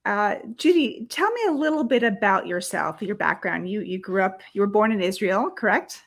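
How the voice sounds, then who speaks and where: Interviewer: woman, mid-pitched, friendly, keeps the guest talking. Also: expressive, normal speed. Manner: friendly, short encouraging back-channels. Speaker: publisher and interviewer. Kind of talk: interview hosting.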